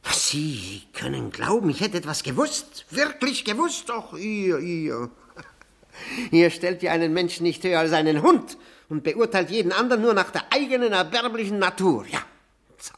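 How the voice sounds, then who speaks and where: An actor playing a bitter cuckolded widower in a 1960s German radio drama, fawning and self-abasing, then needling with mock courtesy. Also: male voice, high-pitched, animated, a bit bright, full character voice. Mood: bitter, agitated. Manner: mock-courteous, insinuating, with drawn-out words and needling questions.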